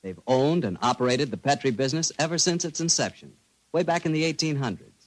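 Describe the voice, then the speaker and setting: A man's voice: fairly high pitch, animated, fast, rough recording. A man with an American accent, hosting and reading sponsor messages as a polished, folksy 1940s radio announcer.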